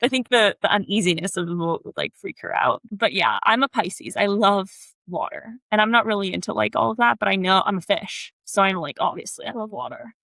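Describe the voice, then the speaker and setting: A bubbly young woman from Texas chatting on a podcast, her talk peppered with "like" and loose restarts. Her voice sits at a middle pitch and sounds close and dry. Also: animated, fairly quick, vocal fry, hardly any pauses, a bit bright, no echo. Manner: chatty, bubbly, lots of "like" fillers. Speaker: podcast co-host. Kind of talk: casual chat.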